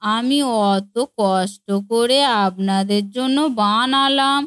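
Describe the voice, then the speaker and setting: A woman's voice: a little high, a bit bright, clean tone, no echo, clean studio sound. A woman voicing a naive, eager young daughter-in-law in a family comedy cartoon, speaking in a simple, earnest way. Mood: hurt, sulking. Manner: slow, simple-minded, earnest delivery.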